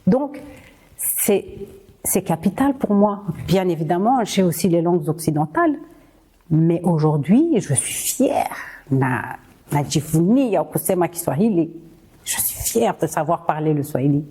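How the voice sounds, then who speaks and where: A woman's voice: on the low side, sing-song, measured pace, warm tone, breathy edge. A Rwandan-born woman hosting a podcast, speaking earnestly and rallying her listeners, with frequent hesitations and repeated words. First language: Kinyarwanda. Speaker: podcast host. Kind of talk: impassioned podcast monologue.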